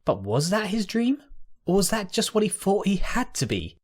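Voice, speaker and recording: A man's voice: high-pitched, sing-song, normal speed, breathy edge. A man reading scripted third-person narration for an audio drama. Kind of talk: storybook-like fiction narration.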